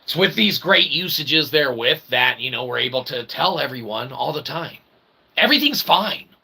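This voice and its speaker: Over clearly noisy livestream audio, a man delivers a rambling comic monologue. His voice sits a little high for a man and has a gravelly, creaky edge. He talks with mock pomp, piling up inflated invented words and stock tags. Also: lively intonation, normal speed, pushed and bright. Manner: repeats stock catchphrases and tags like "okay?". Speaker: streamer and channel host.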